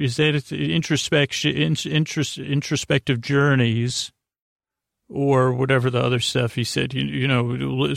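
A man hosts a sleep podcast in a creaky voice, recorded close and dry. He rambles in a lulling way, halting, repeating himself and letting thoughts trail off. The whole thing is an unhurried, wandering bedtime monologue. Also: on the high side, no room sound. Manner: lulling, soothing.

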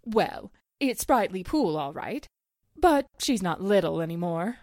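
A woman's voice: medium pitch, very animated, unhurried, a little mellow, no room sound, studio quality. A woman acting a chatty, coy small-town 1940s housewife who loves gossip, in a radio drama. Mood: playful, gossipy.

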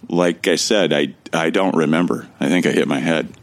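Very deep gravelly amnesiac played by a man. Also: normal speed. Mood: confused. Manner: wry, musing. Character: bewildered amnesiac man, wryly recounting his ordeal. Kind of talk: first-person scripted narration in a sci-fi audio drama.

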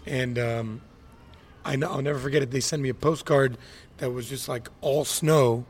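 Animated man, ranting podcast host. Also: mid-range voice, normal speed, slightly rough. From Miami. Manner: animated storyteller, ranting, acts out quotes. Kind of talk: casual podcast chat.

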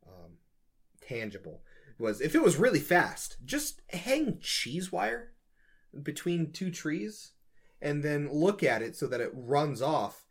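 A man's voice: a little high, sing-song, slow, slightly rough. A man co-hosting a podcast, chatting casually and riffing with jokes. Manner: joking, riffing. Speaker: podcast co-host. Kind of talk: casual comedic chat.

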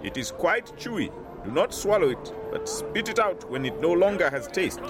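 A man playing a gentle, confident old elephant-headed merchant with courtly, flowery sales talk in a fantasy audio drama. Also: high-pitched, very animated, normal speed, over music. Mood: genteel, helpful.

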